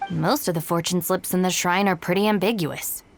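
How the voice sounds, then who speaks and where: A woman playing a practical, no-nonsense young shrine maiden in a video game, speaking in a brisk, matter-of-fact way. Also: lowish voice, slight background noise, lightly acted.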